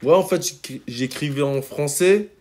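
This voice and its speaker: A man's voice: fairly high pitch, normal speed, a little mellow. A man from Congo, a rapper guest, talking in a laid-back, slangy way and often saying "you see". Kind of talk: casual interview chat.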